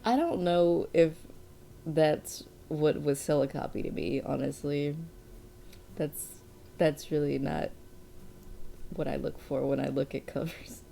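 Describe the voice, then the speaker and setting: A woman's voice: low voice, slow, creaky, long pauses, warm tone, a little hiss or hum. A young adult woman casually commentating a game, rambling and laughing often, a bit sniffly from allergies. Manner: rambling, laughs often, restarts her words. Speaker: game streamer. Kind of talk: casual let's play commentary.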